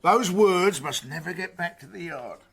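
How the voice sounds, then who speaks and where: An actor playing a grumpy, sarcastic male London chief inspector in a radio drama, with a gruff, blunt and colloquial delivery. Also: high voice, expressive, moderate pace, a bit bright, heavily acted.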